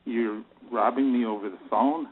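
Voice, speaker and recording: A man's voice: medium pitch, steady tone, normal speed, close to an everyday voice. A man playing an anxious, threatened bank president and husband in an audio play, speaking uneasily and questioningly. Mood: uneasy, confused. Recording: noisy, narrow phone-like sound with clicks.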